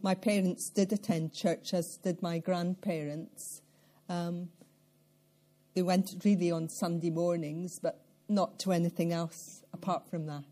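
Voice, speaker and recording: An older Scottish woman speaks in a lowish, mellow voice. She tells her story in a measured, reflective way, taking her time between thoughts. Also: steady tone. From central Scotland. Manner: measured, reflective, with occasional soft laughs and throat clearing. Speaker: interviewee. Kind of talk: life-story interview.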